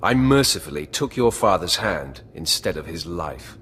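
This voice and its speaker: A cool Sith warrior from a video game. The voice is deep yet moves noticeably in pitch, with measured, formal, curt delivery. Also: male voice, big pitch swings, fairly bright tone, big-room sound, slight background noise. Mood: calm, firm. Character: cool, controlled young male Sith warrior. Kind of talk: dramatic video game acting.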